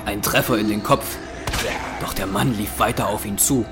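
Low-voiced male horror narrator. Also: steady tone, normal speed, over loud music. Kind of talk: scripted horror audio drama narration.

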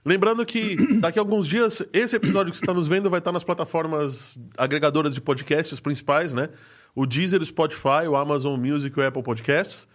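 A man's voice: fairly high pitch, a little fast. A Brazilian man hosting a podcast in a casual, informal, joking and slangy way. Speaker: podcast host. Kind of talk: casual chat.

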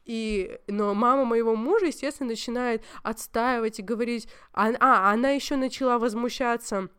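Young woman, casual chat, clear smooth voice, slightly high, animated storyteller. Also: normal speed. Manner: animated storytelling, many fillers.

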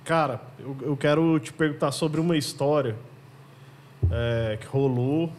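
A man's voice: a little high, unhurried, darkish tone. A man hosting a casual podcast, throwing in joking, teasing remarks. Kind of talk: casual chat.

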